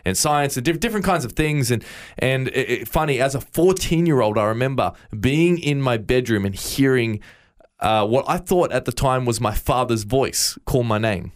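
A man's voice: mid-range voice, expressive, moderate pace. A man with an Australian accent, a guest in an interview, earnestly recounting his faith story with frequent stammered restarts. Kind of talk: interview, personal testimony.

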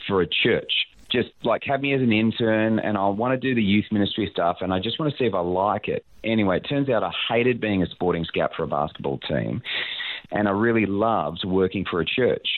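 Low-voiced Australian man, matey and self-deprecating. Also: brisk, no room sound, narrow, tinny audio. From Sydney, Australia. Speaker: pastor, interview guest. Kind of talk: casual anecdotal chat.

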